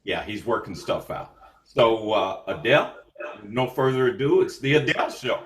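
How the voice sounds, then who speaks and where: A man's voice: medium pitch, expressive, moderate pace. A man hosting an online meeting in a casual, unscripted way, a little hesitant and informal. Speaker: meeting host. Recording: roomy, echoing online call.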